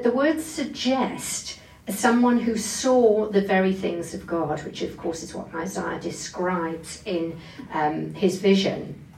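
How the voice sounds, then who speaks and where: A woman's voice: mid-range voice, vocal fry, a little mellow. A woman giving an expository Bible sermon, explaining carefully and leaning on key phrases. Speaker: preacher giving a Bible talk. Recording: echoey room, distant microphone.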